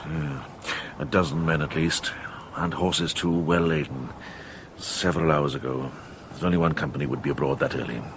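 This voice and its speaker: An older man playing a shrewd, calm and authoritative medieval monk in a radio drama, speaking in a measured, deliberate way. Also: a bass voice, full character voice. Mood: grave, intent. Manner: measured, deliberate, thinking aloud. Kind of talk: British radio drama acting. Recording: clear background ambience noise.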